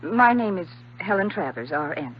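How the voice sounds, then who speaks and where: Lively, prim nurse, old radio. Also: female voice, on the low side, moderate pace. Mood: coy, scolding. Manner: polite, coy, lightly scolding. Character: prim, teasing young female ship's nurse. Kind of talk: 1950s radio drama acting. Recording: dull, faintly noisy old radio recording.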